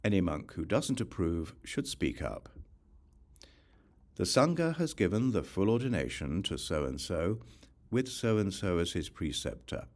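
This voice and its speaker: A man reading scripture as a scripted audiobook narration, in a measured, formal reciting manner. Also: deep voice, very animated, a little mellow.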